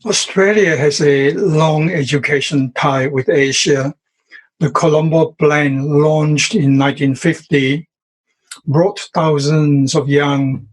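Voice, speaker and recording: A male professor asking prepared interview questions in a measured, formal way. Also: fairly high pitch, breathy edge, rough recording.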